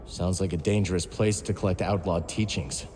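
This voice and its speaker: An actor as a guarded young Jedi fighter in a cinematic game. His voice is low and very mellow, understated but earnest. It sits in long reverb. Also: fairly even intonation, moderate pace, a little hiss or hum, close to an everyday voice. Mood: curious, relaxed. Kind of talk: cinematic video game acting. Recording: long reverb, faint music behind.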